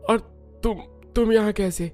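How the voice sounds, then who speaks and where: A man acting a wounded, dazed man in a dramatized story, halting and groaning in astonishment. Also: high voice, steady tone, dark tone, faint music behind. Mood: dazed, amazed.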